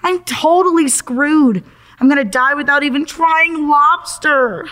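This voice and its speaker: A woman plays a confused accident victim stuck in an afterlife waiting room. The voice is high and unhurried, with sarcastic, slangy exasperation in audio drama style. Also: fairly bright tone. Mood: panicked.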